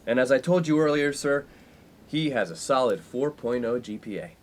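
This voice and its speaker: A man's voice: mid-range voice, animated, moderate pace, close to an everyday voice. A man playing a brash young college friend in a comic radio play, pompous, wordy and playful. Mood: mock-formal, smug. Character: brash, inappropriate young male college friend, mock-formal prankster. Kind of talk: 1950s-set comic radio play acting.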